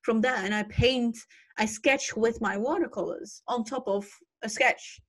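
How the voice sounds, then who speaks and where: A woman's voice: a little high, a little slow. A middle-aged woman whose first language is Dutch, an illustrator talking animatedly about her watercolor workflow on a video call, often restarting her words. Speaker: traditional illustrator on an online panel. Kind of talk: casual conversation about art workflow. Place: cluttered art studio, indoors.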